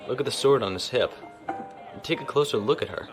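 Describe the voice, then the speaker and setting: A man's voice: mid-range voice, a little fast, music in the background, lightly acted. An actor playing a stiff, formal young male naval officer who speaks properly and reservedly.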